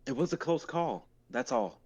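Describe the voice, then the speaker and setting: A man's voice: medium pitch, lively intonation, moderate pace, a little mellow, a little hiss or hum, natural voice. A young man playing a brooding, doubtful younger brother in an amateur livestreamed drama reading. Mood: guarded, doubtful. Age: young adult. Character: Damien, brooding 22-year-old tortured artist type, doubtful younger brother.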